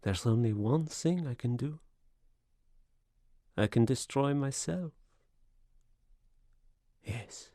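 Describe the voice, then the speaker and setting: An actor playing a tormented, paranoid gentleman losing his mind, in a theatrical radio suspense style with a husky voice. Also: mid-range voice, animated, slow, pauses often, no room sound, studio quality. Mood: brooding, secretive. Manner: theatrical, brooding monologue swelling to frenzy. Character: tormented paranoid Frenchman gentleman descending into madness. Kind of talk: radio suspense drama acting.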